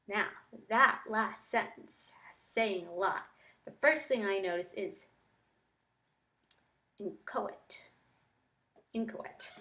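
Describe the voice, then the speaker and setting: Woman teacher doing a read-aloud lesson, medium pitch, thinking out loud as she goes, kind of reflective. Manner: reflective, talks thoughts through step by step while annotating.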